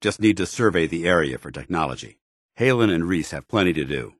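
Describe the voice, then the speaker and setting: An actor playing a stern, duty-bound military commander in a video game, speaking formally with clipped authority. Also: male voice, deep voice, expressive, fairly quick, dry recording, clean studio sound. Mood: commanding, businesslike. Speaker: commanding officer. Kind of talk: scripted video game dialogue.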